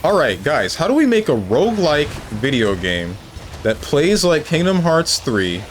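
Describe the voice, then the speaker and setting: A man streaming a video game, chatting casually with his viewers and joking around, with bursts of mock excitement. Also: fairly high pitch, measured pace. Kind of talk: casual live-stream chat while gaming. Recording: game music playing underneath.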